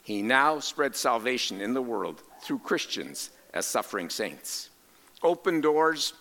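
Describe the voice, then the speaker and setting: Male preacher, bright forceful voice, highly varied intonation, deliberate emphatic phrasing, sermon delivery, big echoing hall. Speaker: preacher and cross-cultural missionary. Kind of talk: sermon with scripture quotations and rhetorical questions. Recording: reverberant large hall.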